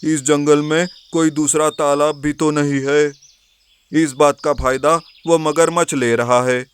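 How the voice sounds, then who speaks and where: A man's voice: fairly high pitch, steady tone, measured pace, clean tone, pitched above the performer's own voice, close to an everyday voice. A man voicing a worried, earnest elephant in an animal fable, exclaiming fretfully.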